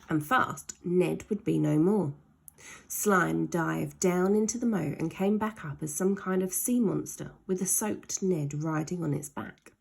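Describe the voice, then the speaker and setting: A woman's voice: on the low side, gravelly, darkish tone, slight background noise. A woman reading a children's story aloud expressively, stretching key words for dramatic emphasis.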